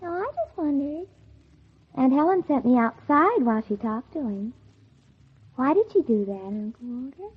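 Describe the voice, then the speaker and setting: A woman's voice: high-pitched, big pitch swings, normal speed, slightly rough, heavily acted. An actress playing a spiteful, scheming teenage girl in a 1940s radio drama, by turns falsely innocent and coldly bitter. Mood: feigned innocence. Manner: feigned sweet innocence turning coldly bitter. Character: scheming, spiteful teenage girl narrating her own revenge. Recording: muffled, tinny old 1940s radio recording.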